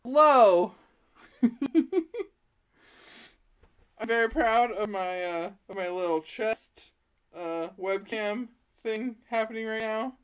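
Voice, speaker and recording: A woman chats live from her art studio, giggling at her own confusion over the stream. She speaks slowly in a fairly high voice. Her words are picked up by the laptop and camera mics around her. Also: smooth. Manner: self-deprecating, giggly, fumbling with the tech. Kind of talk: casual live stream chat. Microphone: laptop, DSLR and chest-mounted webcam.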